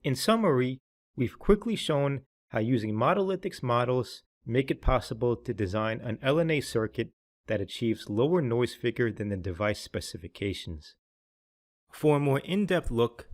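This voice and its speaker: A middle-aged man, a sales and applications engineer, reading a scripted technical explainer in a measured, precise way. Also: mid-range voice, expressive, no echo, clean studio sound.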